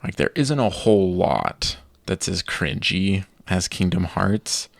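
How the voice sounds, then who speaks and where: A man co-hosting a podcast in casual chat, giving opinions with halting restarts. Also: deep voice, expressive, gravelly, dry recording, clean studio sound. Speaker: podcast co-host.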